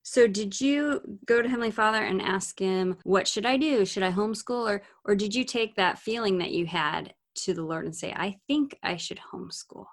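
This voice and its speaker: A woman hosting a casual faith discussion, talking in an animated way and asking questions. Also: medium pitch, normal speed, few pauses.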